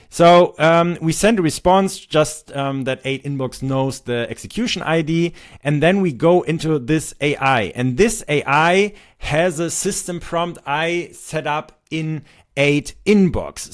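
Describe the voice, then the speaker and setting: Man, 30s, german accent, non-native english, casual tutorial explainer, frequent ums, middle pitch. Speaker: software developer demoing his tool. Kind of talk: screen-recorded software tutorial.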